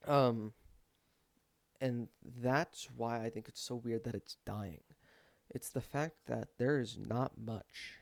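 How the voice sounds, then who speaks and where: A man's voice: mid-range voice, gravelly, a little mellow, dry recording. A man giving casual, rambling opinion commentary in informal language with some swearing. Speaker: video creator.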